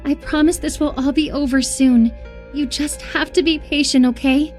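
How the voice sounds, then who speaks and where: A woman playing an obsessive, sweetly menacing young female captor in a dramatic horror visual novel, coaxing with a sharp edge. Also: high voice, steady tone, moderate pace, soft background music, lightly acted. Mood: menacing, soothing.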